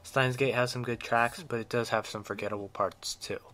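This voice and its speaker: A man's voice: mid-range voice, fairly even intonation, normal speed, fairly bright tone, no echo. Man narrating an enthusiastic game review.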